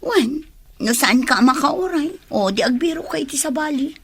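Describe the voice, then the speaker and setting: A woman's voice: high-pitched, expressive, moderate pace, fairly bright tone. A woman with an Ilocano accent acting a stubborn younger girlfriend in a radio drama. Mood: irritated, defiant. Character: stubborn younger girlfriend not ready to marry.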